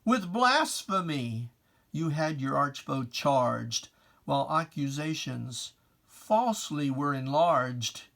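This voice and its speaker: A person giving a dramatic reading of religious verse in a theatrical, deliberate, taunting manner with pointed pauses. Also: mid-range voice, lively intonation, creaky, fairly bright tone. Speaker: poetry reader.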